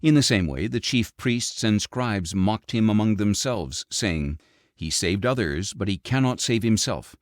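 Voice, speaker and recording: A man reading scripture as an audiobook narrator, in a measured, expressive storytelling delivery. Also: fairly low pitch, dry recording, clean studio sound.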